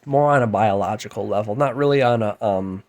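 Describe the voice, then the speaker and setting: A man in his thirties with a light, androgynous voice, ranting at home at night in a rambling, self-deprecating way. He mostly keeps his voice down, then flares up loudly before trailing off again. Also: on the low side, a little fast. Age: 30s. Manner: keeps his voice down, with loud bursts. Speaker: musician and vlogger. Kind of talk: rambling late-night rant to camera.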